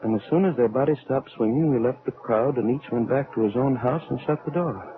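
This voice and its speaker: An older male actor playing a remorseful, weary atomic scientist in an old-time radio drama, speaking in a solemn, halting, oratorical way. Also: medium pitch, close to an everyday voice. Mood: mournful, reflective. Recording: tinny, band-limited old radio recording.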